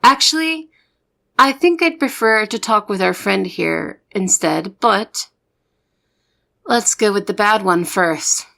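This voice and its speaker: Casting: actress as kind-hearted but exasperated female secret agent, slow delivery, creaky edge, ASMR roleplay. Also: medium pitch, a bit bright. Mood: annoyed. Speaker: voice actor in audio roleplay.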